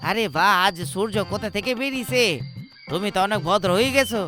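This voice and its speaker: A man's voice: sits high, quick pace, over music, natural voice. A man voicing a cheeky, cocky young male thief in a cartoon. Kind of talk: Bengali cartoon voice acting.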